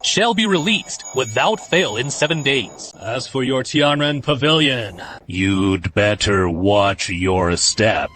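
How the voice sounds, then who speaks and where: A man's voice: medium pitch, animated, slow pace, fairly bright tone, a little hiss or hum. An actor playing a smug male envoy who delivers his master's demands with a taunting, formal manner in an anime dub.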